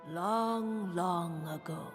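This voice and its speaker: A mysterious witch seer from a fantasy game. She speaks slowly and solemnly in a lowish voice, wrapped in long reverb with music clearly behind her. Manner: measured, solemn storytelling.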